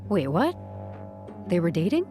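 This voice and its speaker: A quietly persistent female HR investigator in an audio drama. Her voice sits on the low side with lively inflection, careful and questioning, over faint music. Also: a little slow. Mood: surprised. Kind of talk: scripted audio drama acting.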